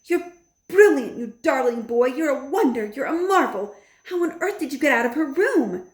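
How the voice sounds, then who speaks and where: Woman doing a doting old grandmother, high and calm, roomy echo. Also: brisk, lightly acted. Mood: affectionate. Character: warm, loving old grandmother.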